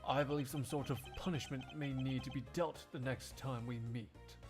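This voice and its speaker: A man's voice: medium pitch, steady tone, music in the background, natural voice. Young male prosecutor for game fandub, done by a male streamer, courteous, composed, precise. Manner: measured, formal, polite.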